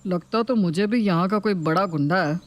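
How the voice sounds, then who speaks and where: A man's voice: sits high, moderate pace, close to an everyday voice. A man voicing a sly husband, an agreeable accomplice in a scheme, in a dramatised story. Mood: conniving. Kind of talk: dramatised Hindi story video.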